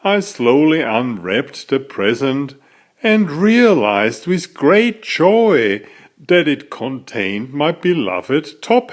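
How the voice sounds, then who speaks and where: A man reading a children's story aloud as a narrator, in a deliberate, dramatic storytelling manner. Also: medium pitch. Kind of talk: expressive scripted storybook narration.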